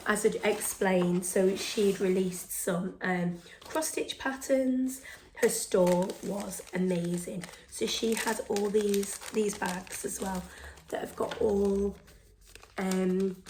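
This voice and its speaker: Hoarse woman, chatty and breathy. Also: mid-range voice, slight background noise, rough recording. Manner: chatty and excitable, with frequent ums and breathy whispered phrases. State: hoarse, losing her voice. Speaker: stitching vlog host. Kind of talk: casual show-and-tell chat to camera.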